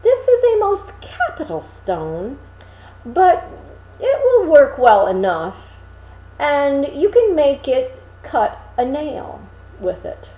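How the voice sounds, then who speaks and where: Woman putting on a sly, unhurried tradesman. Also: high-pitched, wildly swooping pitch, dark tone, echoey room, heavily acted, rough recording. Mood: wheedling. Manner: smooth-talking, persuasive, boastful. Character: sly, self-satisfied tradesman, a knife grinder.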